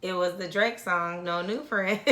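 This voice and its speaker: Bright-voiced woman podcast co-host. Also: on the low side, slow pace. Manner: laughs often, drops softer when reflecting. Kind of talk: casual storytelling chat. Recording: roomy echo.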